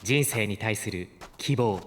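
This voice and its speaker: A man playing a polite, caring artificial intelligence with formal, measured speech in a Japanese audio drama. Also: fairly low pitch, slight background noise. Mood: reassuring, explanatory.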